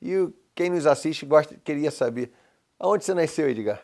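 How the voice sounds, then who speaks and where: A man's voice: a little high, expressive, normal speed, a little mellow. Man hosting a TV interview. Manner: attentive, frequent short affirmations. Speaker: TV talk show host. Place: TV studio.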